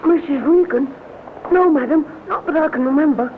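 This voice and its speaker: An actor plays a young hotel bellhop in an old radio mystery. The voice is high, eager and deferential. It sounds thin, as from an old broadcast. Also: moderate pace, phone-quality sound, a little hiss or hum, lightly acted. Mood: obliging. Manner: deferential, obliging. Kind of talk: 1950s radio acting.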